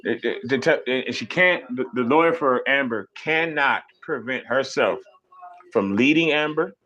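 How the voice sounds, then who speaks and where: A man ranting on a casual live stream, animated and profane, mocking people with sudden high mimicking voices. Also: measured pace, poor audio.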